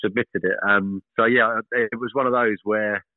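A man in his thirties, a professional cricketer, talking casually in an interview, fairly monotone and hesitant with many fillers and restarts. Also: on the low side, normal speed, no room sound. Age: 30s. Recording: tinny dry phone line.

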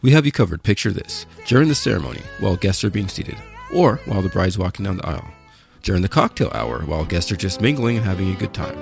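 A man with a very low, creaky voice reads an upbeat scripted advertisement. He moves along at a fast clip, and music plays behind him. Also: expressive. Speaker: advertisement voice-over.

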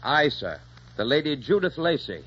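An actor playing a dutiful but wary pirate first mate in a 1940s radio drama, deferential even when objecting. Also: male voice, on the high side, normal speed, husky, full character voice. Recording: dull, muffled 1940s radio recording.

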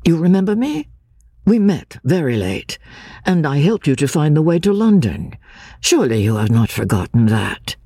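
An earnest, anxious gentleman from a classic novel, played by a man reading aloud. He soothes gently in a husky voice that rises and falls freely. It is recorded close and dry. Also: a little high, sing-song, moderate pace, no room sound, voice pushed up, full character voice. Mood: anxious reassurance. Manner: gentle, anxious, repeating himself reassuringly. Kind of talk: audiobook character acting.

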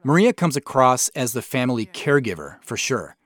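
A man's voice: mid-range voice, sing-song, a little fast, fairly bright tone. A man who creates audio drama, giving a reflective, explanatory director's commentary.

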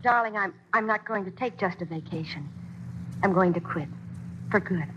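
An actress playing a spirited young vaudeville wife in a 1940s radio play, talking in a heartfelt way, on a dull old broadcast. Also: female voice, mid-range voice, normal speed, fairly bright tone, a little hiss or hum, close to an everyday voice. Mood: earnest.

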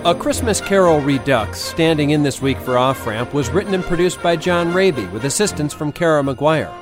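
Higher-voiced man reading over music. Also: expressive, normal speed. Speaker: radio producer and presenter. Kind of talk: scripted radio credits.

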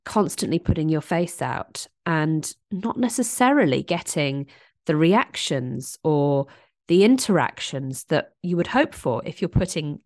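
A woman in her thirties talks through a solo podcast episode in a fairly low voice, audibly stuffed up and congested. She speaks candidly and reflectively, often restarting her sentences. The sound is dry and close. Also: sing-song, measured pace, a little mellow, no room sound. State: congested, stuffy-nosed from a chest infection and hay fever. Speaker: podcast host. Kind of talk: casual solo podcast monologue.

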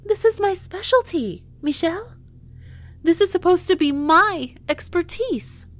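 Chatty emphatic lady running moon base supplies, high swoopy voice, takes her time. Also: lively intonation, unhurried. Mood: frustrated, exasperated. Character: chatty, frustrated woman inventory chief of a moon base. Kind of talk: audio drama acting.

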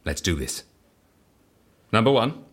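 A man playing an eccentric, self-assured devotee of chance in an audio drama, asking clipped, probing questions and speaking with calm conviction. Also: fairly low pitch, slow pace, husky, heavily acted. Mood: coaxing.

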